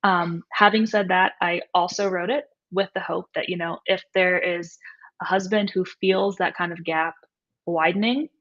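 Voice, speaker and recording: A woman's voice: lowish voice, fairly even intonation, moderate pace, fairly bright tone. Woman in a muffled lo-fi recording. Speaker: author and coach, interview guest. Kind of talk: casual interview talk.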